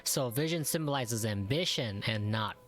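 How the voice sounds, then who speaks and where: A man's voice: mid-range voice, animated, normal speed, fairly bright tone. Slangy male gamer reacting, music behind. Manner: slangy, exclamatory. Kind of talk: casual gameplay reaction commentary.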